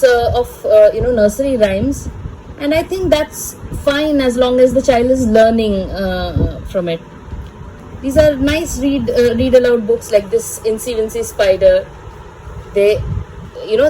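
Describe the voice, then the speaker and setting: A woman in her thirties, a native Bengali speaker, chatting hesitantly as a parenting vlogger, pausing and repeating words. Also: a little high, dark tone, a little hiss or hum, rough recording. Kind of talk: casual chatty vlog recommending books.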